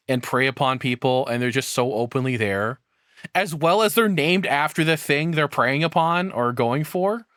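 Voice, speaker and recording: A man reacting casually off the cuff as a streamer, blunt and sweary, with halting phrasing. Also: mid-range voice, a bit bright, no room sound, clean studio sound.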